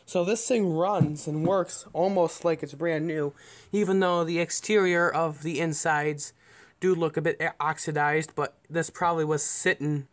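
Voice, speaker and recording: A man giving a casual, unscripted show-and-tell as a vlogger, rambling along in a matter-of-fact way. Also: on the high side. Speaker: vlogger showing an antique radio.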